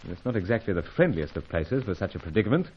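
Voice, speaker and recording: A gallant British adventurer of the old radio serials. His husky voice swings animatedly through hearty, gentlemanly phrases. The recording is dull and boxed-in. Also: deep voice, sing-song, fast talker, full character voice. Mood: grave. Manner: hearty, clipped gentlemanly exclamations. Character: plucky, gallant British secret agent and adventurer. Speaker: lead character and narrator.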